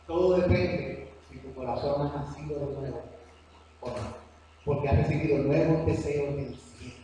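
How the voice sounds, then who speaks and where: A man with a Cuban accent preaching a sermon to a church class, earnest and slow on his emphatic phrases. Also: mid-range voice, steady tone, dark tone, a little hiss or hum. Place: church hall. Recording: echoey hall from far off.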